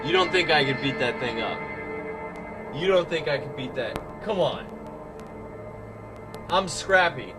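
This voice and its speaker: A man's voice: a little high, expressive, a little slow, long pauses, over music. A man playing a boastful, awkward monster-show host, rambling and defensive as he presents a mock documentary.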